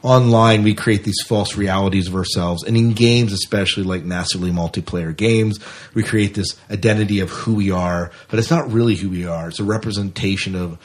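A man's voice: lowish voice, steady tone, fairly quick. An American man co-hosting a podcast, chatting casually through science fiction news. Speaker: podcast co-host. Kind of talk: casual sci-fi news chat.

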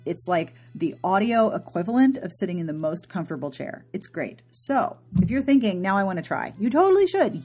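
A woman's voice: medium pitch, a little mellow, breathy edge. A woman podcast host giving a chatty, informal and enthusiastic intro with playful asides.

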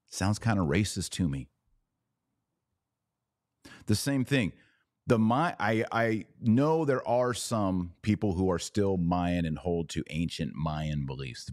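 Deep-voiced man giving emphatic commentary. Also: a little mellow, no room sound, studio quality. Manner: emphatic, repeats phrases for effect. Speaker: podcast host. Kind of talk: opinionated commentary podcast.

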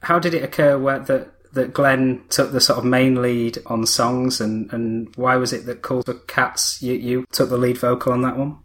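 A man interviewing a musician, asking questions in a halting way and repeating words as he starts. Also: medium pitch, fairly even intonation, a bit bright.

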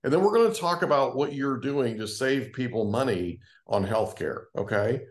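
A man's voice: mid-range voice, expressive, moderate pace, roomy sound. A man hosting an interview podcast, welcoming his guest in a friendly, encouraging way. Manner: friendly, encouraging, short backchannels. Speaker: podcast host.

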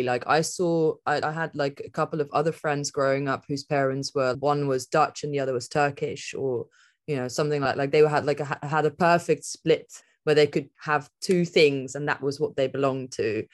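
A woman's voice: sits low, steady tone, moderate pace. A woman speaking as a podcast guest, thinking aloud in a rambling, reflective way, with many "like" fillers and frequent laughter. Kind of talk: reflective conversational interview.

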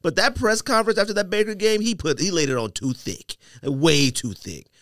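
A man's voice: high voice, fairly quick, a bit bright, no echo, studio quality. A man co-hosting a sports podcast, talking casually in slangy, opinionated banter.

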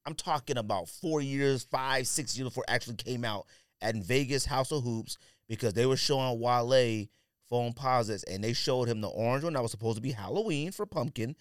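A man's voice: medium pitch, brisk, clear, resonant tone, no echo, studio quality. A man co-hosting a podcast, chatting casually in a slangy, offhand way about his picks. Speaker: podcast co-host. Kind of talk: casual sneaker and sports podcast banter.

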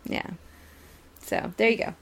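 A woman co-hosting a podcast, chatting casually about a TV show. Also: measured pace. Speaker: podcast co-host. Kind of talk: casual TV recap banter.

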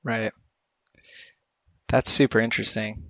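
Friendly interviewer, short warm reactions. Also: medium pitch, level tone, unhurried, no room sound. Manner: friendly, brief reactions, laughs along. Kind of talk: casual interview chat.

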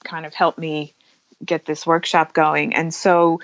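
Lowish-voiced woman, dry and slightly dull recording. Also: little pitch movement, measured pace, dry recording. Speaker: photographer and workshop teacher, interview guest. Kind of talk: casual interview chat.

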